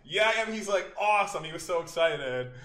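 A young American man telling travel stories in a casual podcast chat, animated and laughing often, acting out quotes. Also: high-pitched, brisk. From United States. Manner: says he has a loud voice. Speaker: podcast co-host.

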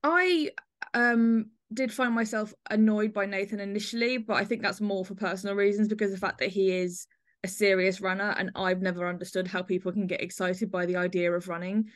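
A woman's voice: mid-range voice, steady tone, a little fast. A woman hosting a podcast, chatting conversationally as she reviews audio drama. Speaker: podcast host.

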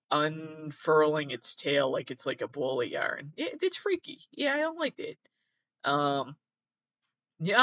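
A man's voice: on the high side, a bit bright. A man hosting a casual, rambling reaction commentary, with sardonic, sweary asides and muttered self-talk. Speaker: host. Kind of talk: casual rambling reaction commentary, reading aloud from web articles. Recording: roomy, slightly dull lo-fi sound.

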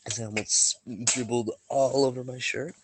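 A man's voice: mid-range voice, steady tone, normal speed, a little hiss or hum, poor audio. A man with a stuffy, sniffly voice, running a tabletop game as game master and giving a recap in a loose, joking way. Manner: loose, joking, with frequent restarts. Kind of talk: casual chat and recap narration.

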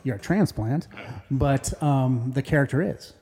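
A man's voice: medium pitch, measured pace, mellow. A man from northern Minnesota in casual unscripted discussion, thinking aloud with a hesitant delivery. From northern Minnesota, Iron Range. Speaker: co-creator and actor of a fictional small-town show.

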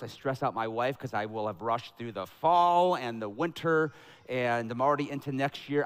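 Energetic preaching pastor, man. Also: mid-range voice, clear, resonant tone. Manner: energetic, conversational preaching that builds to loud emphatic peaks. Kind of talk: sermon with announcements and prayer. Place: church sanctuary. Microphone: headset mic.

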